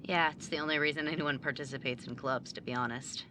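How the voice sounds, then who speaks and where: A woman playing a driven, overachieving young student and younger sibling in an audio drama, brisk, businesslike and easily exasperated. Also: sits low, steady tone, noisy background, lightly acted. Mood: awkward, guarded.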